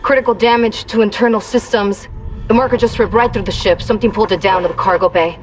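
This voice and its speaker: A woman's voice: medium pitch, fairly even intonation, quick pace, faint music behind, natural voice. An actress playing a tense, wary female computer specialist in a sci-fi video game. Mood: urgent, wary. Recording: lo-fi radio transmission.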